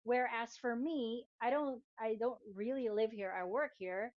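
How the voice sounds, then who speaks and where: A woman's voice: a little high, measured pace, dry recording, lo-fi sound. A woman in her thirties from the Philippines, chatting casually as co-host, talking hesitantly with many fillers. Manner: hesitant, lots of fillers and restarts, laughs often. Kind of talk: casual chat.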